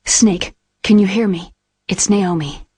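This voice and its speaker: An actress playing a sombre scientist in a video game, confiding in a husky, breathy voice and explaining precisely. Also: female voice, medium pitch, unhurried, darkish tone, no room sound. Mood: secretive urgency. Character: sombre, secretive female scientist, calm and confiding. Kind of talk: dramatic video game voice acting.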